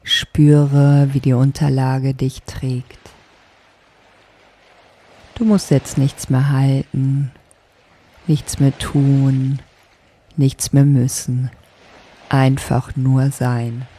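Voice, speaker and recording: A woman leading a guided sleep meditation as a yoga nidra teacher, speaking softly in a calming, deliberate way. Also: a bass voice. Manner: soft, calming, deliberate instruction.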